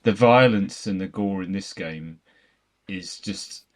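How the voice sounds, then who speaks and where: A man's voice: low voice, slow. A man giving opinions in casual podcast discussion, with descriptive, emphatic wording. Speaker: podcast panellist.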